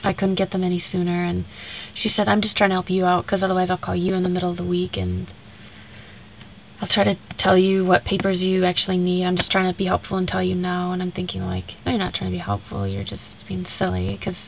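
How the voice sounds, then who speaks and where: A woman's voice: on the low side, fairly even intonation, a little fast, gravelly. A young woman with a stuffy, nasal voice from a cold, talking casually in a rambling video message to a friend and retelling a conversation with many fillers. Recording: tinny lo-fi recording with faint hiss.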